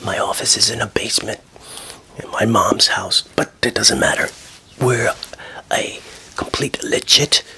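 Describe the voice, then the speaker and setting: A man whispering through a silly doctor-visit ASMR roleplay, talking softly and unvoiced into a close microphone. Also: on the low side, unhurried, creaky.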